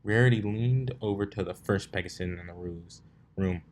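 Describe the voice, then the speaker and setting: A man's voice: sits low, normal speed, slight background noise. A man narrating fanfiction aloud, now and then stumbling and rereading a phrase. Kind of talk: story narration, read aloud.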